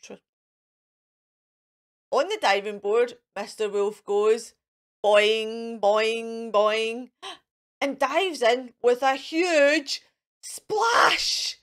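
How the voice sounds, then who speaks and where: Woman storyteller for young children, high voice, very slow delivery, sing-song with playful sound effects. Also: fairly bright tone. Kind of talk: animated picture-book read-aloud with asides to young viewers.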